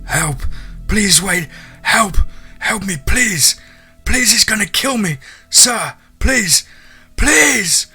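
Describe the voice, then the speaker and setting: A man's voice: high voice, expressive, drawn-out words, gravelly, pauses often, pushed and bright, husky, faint music behind, voice pushed up, heavily acted. A man voicing a desperate, tearful man who begs repetitively for his life in a strained voice, in a horror story. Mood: desperate pleading. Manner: repetitive, breathless begging.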